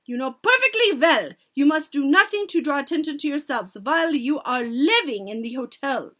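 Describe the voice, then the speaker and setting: Woman voicing an imperious, shouting witch leader. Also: sits high, lively intonation, normal speed, voice pushed up. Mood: commanding, disgusted. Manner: commanding, shouted declarations in stilted foreign-sounding grammar. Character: imperious, menacing female Grand High Witch, scornful and bossy.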